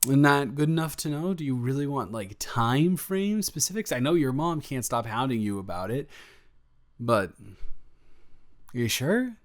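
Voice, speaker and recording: Casting: male actor for young, affectionate, easily excited boyfriend in roleplay audio; medium-pitched, playful, tumbles over his words. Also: normal speed, lightly acted. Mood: flustered, affectionate. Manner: effusive, rambling, stumbling over words, playful slang. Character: affectionate, excitable, goofy golden-retriever boyfriend, young adult. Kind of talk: improvised boyfriend roleplay audio, casual intimate chat.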